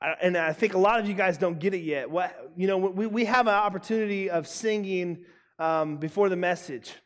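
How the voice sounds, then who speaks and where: A young man preaching a sermon to students, emphatic and loud, repeating his key lines. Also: high voice, normal speed. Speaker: youth pastor. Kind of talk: youth sermon. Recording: long hall reverb.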